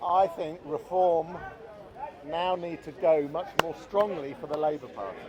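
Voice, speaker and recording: A man's voice: fairly high pitch, a little slow. A man, a political candidate, arguing informally and with passion outdoors, emphatic and repeating his points. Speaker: political candidate being interviewed. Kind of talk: impassioned informal political argument. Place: outdoors in a busy public space. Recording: outdoor crowd behind the voice.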